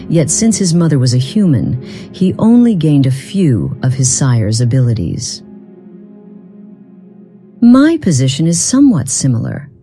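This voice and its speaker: A woman voicing an enigmatic, proud, long-lived part-human being in a fantasy game, speaking formally and deliberately in archaic phrasing. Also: extremely low pitch, mellow, heavily acted. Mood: guarded, solemn. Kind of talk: scripted fantasy game dialogue.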